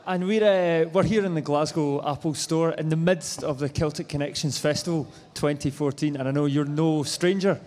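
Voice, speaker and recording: A man's voice: fairly high pitch, fairly even intonation, moderate pace, hardly any pauses, a little mellow. A man interviewing a guest, asking friendly, encouraging questions. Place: large store event space. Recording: long hall reverb.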